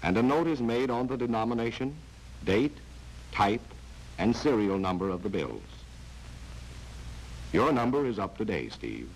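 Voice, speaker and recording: Male narrator for 1940s instructional film: low, highly animated, dryly mocking, addressing the trainee, with faint vintage hiss. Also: measured pace, pauses often, poor audio. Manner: sardonic, dramatic, addresses the trainee directly. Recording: hissy vintage film soundtrack.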